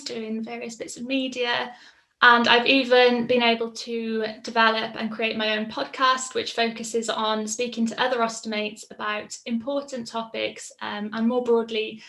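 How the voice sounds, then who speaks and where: Young woman, twenties, fairly high voice, flat even tone, casual reflective testimonial. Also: moderate pace, vocal fry. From Mid Wales. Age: 20s. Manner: casual, reflective, frequent ums. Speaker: patient sharing her story. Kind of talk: personal testimonial.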